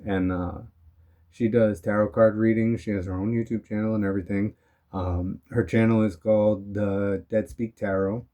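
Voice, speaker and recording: A young man talking casually in a rambling motivational vlog, his voice still hoarse from being sick. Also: on the low side, normal speed. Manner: relaxed, rambling, frequent "you know" and "um". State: hoarse from recent illness. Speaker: vlogger.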